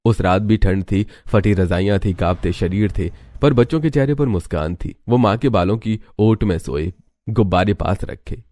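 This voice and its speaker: Mellow, low-voiced man narrating a story. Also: expressive, moderate pace.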